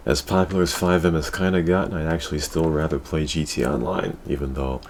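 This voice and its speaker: A man's voice: extremely low pitch, steady tone, quick pace, darkish tone. A man hosting a casual gaming talk show, talking in a crude, slangy way and repeating his catchphrases. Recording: clear background noise.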